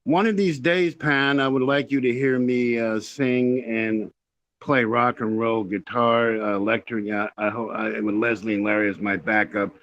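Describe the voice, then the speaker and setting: A man's voice: medium pitch, steady tone, moderate pace, a bit bright, highs cut off. A man chatting casually and boastfully on a video call as a musician guest, rambling as he goes. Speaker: guest, rock musician friend of the band. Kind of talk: casual chat.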